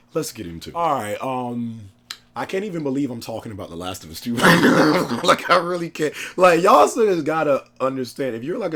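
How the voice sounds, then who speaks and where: Man, hoarse cold-roughened voice, excitable gaming chat, mid-pitched. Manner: excitable, slangy, laughs often. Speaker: gaming YouTuber.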